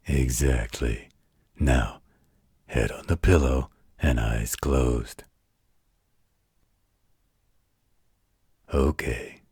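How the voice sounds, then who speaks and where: Gruff male werewolf guardian acted by a man, extremely low and raspy, tender folksy bedtime storyteller for an intimate audio roleplay. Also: no echo, studio quality, heavily acted. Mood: tender, teasing. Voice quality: very deep, gravelly, husky. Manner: folksy, unhurried, gently wry.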